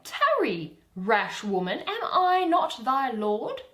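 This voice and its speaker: A woman's voice: high voice, huge pitch swings, takes it slowly, fairly bright tone, echoey room, pitched above the performer's own voice, heavily acted. A woman performing a proud, jealous fairy king with imperious theatrical declaiming. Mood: commanding.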